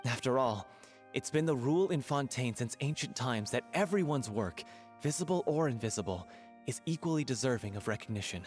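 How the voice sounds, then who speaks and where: A man voicing a diplomatic, proper theatre director in a video game, with a formal, measured delivery. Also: mid-range voice, animated, soft background music, close to an everyday voice. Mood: placating.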